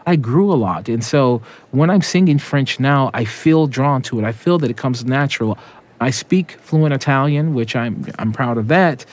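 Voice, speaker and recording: A man's voice: mid-range voice, normal speed. A man, an opera tenor, giving reflective interview answers with small restarts.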